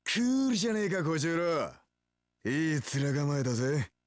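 An actor playing a cocky, cool young samurai warlord in a video game, with rough tough-guy speech and English catchphrases. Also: fairly high pitch, sing-song, normal speed, dry recording, studio quality. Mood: cool, confident. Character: cocky, cool young male samurai warlord, swaggering one-eyed dragon. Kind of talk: video game battle voice lines.

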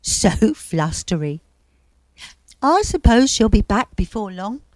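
A woman's voice: medium pitch, sing-song, takes it slowly, a little mellow, no room sound. A woman voicing a grumpy male bear in a children's story, with a blunt, emphatic delivery. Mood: amused. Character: grumpy male bear who likes his comforts.

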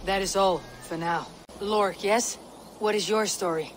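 A woman's voice: mid-range voice, fairly even intonation, a little hiss or hum, natural voice. Stern Viking warrior, acted by a woman, terse, probing, game dialogue, faint background ambience. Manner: measured, terse, accusing. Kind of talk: dramatic video game dialogue.

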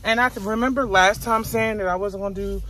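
A woman's voice: medium pitch, brisk, slight background noise. A young woman vlogging from her car, chatting bluntly and loosely with plenty of profanity. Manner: rambling, blunt, profane, animated. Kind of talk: casual car vlog chat.

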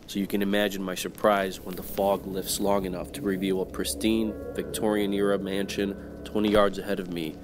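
Hard-boiled, world-weary detective, played by a man, low register, wry radio noir delivery, music bed. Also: level tone, fairly quick, few pauses, lightly acted. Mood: wry, brooding. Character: hard-boiled, wisecracking male supernatural private detective. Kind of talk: noir first-person narration, old-time radio drama.

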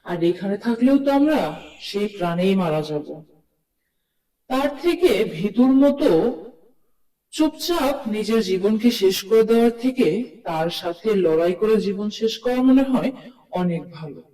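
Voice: mid-range voice, lively intonation, measured pace, pauses often, dark tone, lightly acted. A performer voicing a brave, earnest cartoon townsman with pleading emphasis, in a distant recording with long reverb. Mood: worried, hopeful. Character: brave, earnest townsman rallying his frightened friends. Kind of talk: cartoon story dialogue.

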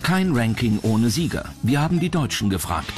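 A man's voice: mid-range voice, sing-song, moderate pace. Male documentary narrator over music. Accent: standard German. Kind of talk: scripted narration. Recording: music bed behind narration.

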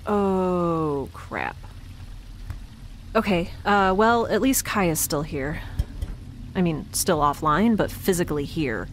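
A woman's voice: lowish voice, expressive, takes it slowly, pauses often, slight background noise. A woman playing a chatty, quirky, anxiously upbeat space dweller in an audio drama, rambling wryly and naturally. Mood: anxious, self-reassuring. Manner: rambling, wry, self-reassuring. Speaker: lead character. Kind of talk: naturalistic audio drama acting, talking to herself.

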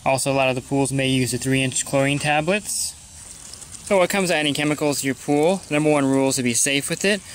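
Brisk man explaining pool upkeep. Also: medium pitch, slight background noise. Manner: plain, matter-of-fact explaining. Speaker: pool service technician. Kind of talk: instructional voice-over.

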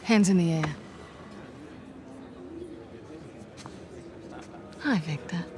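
A woman's voice: on the low side, brisk, noisy background, lightly acted. A woman playing a self-assured mercenary boss in a video game, speaking in a sardonic, teasing way. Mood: teasing, confident. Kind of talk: cinematic video game acting.

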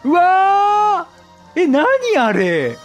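Let's play host: middle-aged male, excitable, casual and very expressive, game music underneath. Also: very high voice, drawn-out words. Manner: chatty, reactive, repeats phrases. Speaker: gaming streamer.

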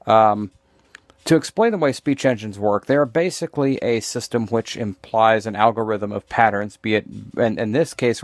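A man talking off the cuff as he explains and demonstrates, in a halting, meandering, opinionated way. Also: fairly low pitch, darkish tone, dry recording. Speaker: vlogger demonstrating screen reader voices. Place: small room indoors. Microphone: handheld phone, later lying on desk.

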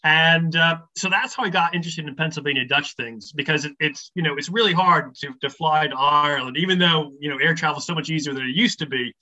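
A man's voice: fairly high pitch, fast talker, hardly any pauses, bright, forceful tone. A middle-aged man, a professor, explaining things at length in an interview, thoughtful and scholarly, with many ums and restarts. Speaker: professor of English, podcast guest. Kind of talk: relaxed explanatory interview talk. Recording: slightly muffled lo-fi sound.

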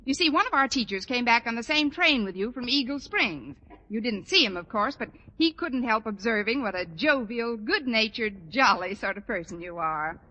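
An actress playing a scheming, wisecracking schoolteacher in a 1940s radio sitcom, with a dry, sarcastic delivery. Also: female voice, a little high, animated, bright, forceful tone, highs cut off. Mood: flustered, placating. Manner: dry, sarcastic, fast-talking when cornered. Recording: noisy old radio recording, long hall reverb.

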